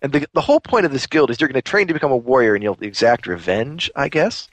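A man's voice: medium pitch, animated, fast. A man on a gaming podcast ranting sarcastically about a game. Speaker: podcast co-host. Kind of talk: comedic game review rant.

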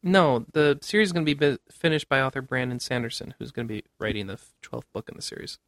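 A man's voice: mid-range voice, expressive, fast talker, fairly bright tone, no room sound. A man hosting a casual panel podcast about gaming news, speaking in a conversational way and given to chuckling. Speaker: podcast host.

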